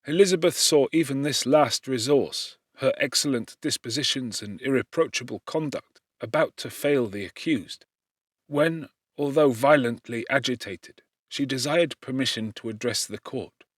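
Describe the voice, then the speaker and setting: A man reads a classic novel aloud as an audiobook narrator. His voice sits at a medium pitch, with formal, dramatic expression. The sound is very dry, free of room echo. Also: moderate pace.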